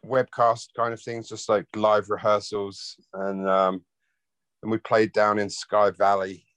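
Low-voiced, laid-back man in a dry recording. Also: flat delivery, measured pace, a bit bright, smooth, no room sound. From London, United Kingdom. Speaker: interview guest, rock bassist. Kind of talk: casual chat.

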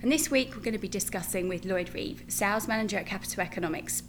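A woman's voice: mid-range voice, quick pace, background noise. A British woman hosting a podcast, who asks loose, rambling questions with fillers. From Britain. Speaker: podcast host.

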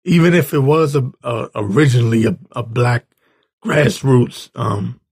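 Raspy man ranting, gravelly and halting. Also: medium pitch, a little mellow. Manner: halting, exasperated, blunt. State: hoarse, clearing his throat. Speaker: political commentator reading tweets and articles. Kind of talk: informal opinion rant.

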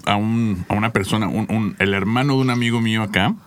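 A man co-hosting a podcast, asking questions in a casual, halting way with many restarts. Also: on the low side, a little hiss or hum. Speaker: podcast co-host.

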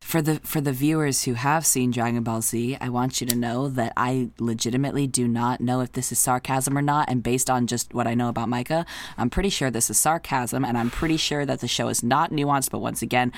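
A woman's voice: extremely low pitch, steady tone, brisk. A young woman co-hosting a podcast, chatting casually in a bubbly, rambling way and laughing often. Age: young adult. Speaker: podcast co-host.

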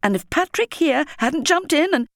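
A woman voicing a warm, indignant school headmistress addressing children emphatically in an audiobook story. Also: sits high, lively intonation, moderate pace, dry recording, studio quality, pitched above the performer's own voice. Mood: trembling anger. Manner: emphatic, exclaiming, addressing a crowd.

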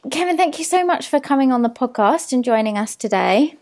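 A woman's voice: high voice, lively intonation, fairly quick, darkish tone, faint music behind. A woman hosting a podcast, asking her guest friendly questions. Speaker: podcast host. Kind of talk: podcast interview, read sponsor message.

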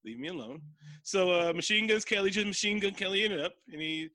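A man's voice: high-pitched, fairly quick, pushed and bright, no echo. A man co-hosting a podcast, chatting casually about a movie in a loose, joking way and swearing freely. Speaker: podcast co-host. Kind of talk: casual movie review chat.

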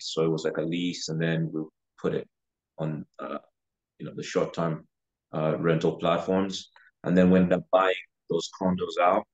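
A young man from Uganda talks through his investing history as a podcast guest, loose and unhurried, full of "uh" and "you know." His voice sits low and level, with little rise or fall. The recording is a bit dull and muffled. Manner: relaxed, with frequent fillers like "you know" and "uh". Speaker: guest real estate investor. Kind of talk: casual interview talk.

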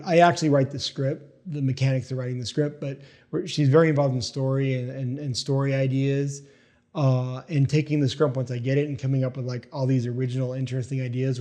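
A man's voice: mid-range voice, a little mellow. A middle-aged man from East Tennessee, a filmmaker chatting in an interview, rambling with many fillers and restarts. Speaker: filmmaker guest. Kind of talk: casual interview chat.

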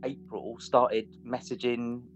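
A man's voice: medium pitch, level tone, takes it slowly. A man telling a personal story casually and a little hesitantly, as if to a friend. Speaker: interviewee, friend of the interviewer. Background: guitar music.

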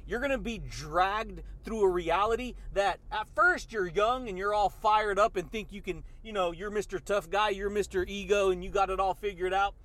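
A man delivers a fired-up motivational talk, his voice pitched high for a man. He talks in a slangy, hyped way, throwing in dude and baby as he addresses the listener. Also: fairly bright tone. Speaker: motivational podcast host. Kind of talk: energetic motivational monologue.

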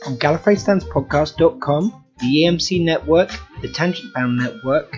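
A man reading a trailer announcement in a steady, formal way. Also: fairly high pitch, moderate pace. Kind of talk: scripted announcement. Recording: dull audio with music.

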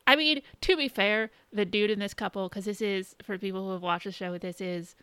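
A woman podcast co-host chatting casually in a halting, conversational way, recapping what she has been watching. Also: mid-range voice, fairly bright tone, smooth, no room sound. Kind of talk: casual chat, recapping shows and films.

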